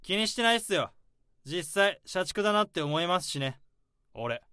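A calm, overworked office drone. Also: male voice, high voice, normal speed, clean tone, no echo, clean studio sound. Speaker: overworked office worker and narrator. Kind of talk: radio drama acting with inner monologue.

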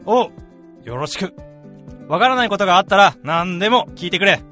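A young man acting a hearty, easygoing salaryman mentor with casual, rough speech in a radio drama. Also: high voice, expressive, a little fast, bright, forceful tone. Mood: friendly, encouraging. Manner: casual, rough, blokey senior-to-junior speech. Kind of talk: radio drama acting with first-person narration. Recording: music behind the voice.

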